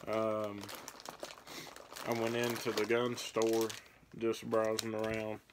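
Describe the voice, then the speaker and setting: A man's voice: lowish voice, monotone, slow, a little hiss or hum. A young man, casually rambling through his fishing baits for a vlog, filmed on a phone in a small bedroom. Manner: rambling, laid-back, listing baits as he handles them. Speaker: fishing vlogger. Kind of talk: casual tackle show-and-tell. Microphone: phone camera at arm's length. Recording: crinkling plastic bait bags behind the voice.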